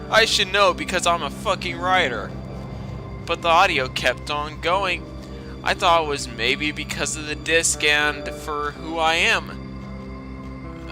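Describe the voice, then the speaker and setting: A man with a slightly high voice reads a story dramatically and mocks it as he goes. His asides are sarcastic, sweary and exclamatory, and video game music plays clearly behind him. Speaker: storytime narrator. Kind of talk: dramatic reading with mocking commentary.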